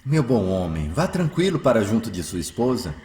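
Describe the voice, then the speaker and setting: A Brazilian man acting a gentle, benevolent healer of the 1910s in a radio drama, speaking earnestly and soothingly. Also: fairly low pitch, very animated, moderate pace. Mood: kindly, calm. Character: gentle, saintly male spiritist healer of the 1910s.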